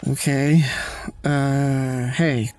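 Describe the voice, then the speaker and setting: A man's voice: mid-range voice, level tone, extremely slow, darkish tone. An actor playing a nervous male scientist on the run in an audio drama, speaking with a wry, jittery edge.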